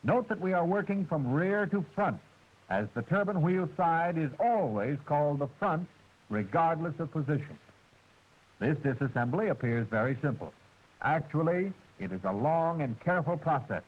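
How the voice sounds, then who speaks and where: A man narrating a 1940s military training film, with a precise, measured and formal delivery, heard through an old film soundtrack. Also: on the high side, breathy edge, phone-quality sound. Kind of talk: scripted instructional narration.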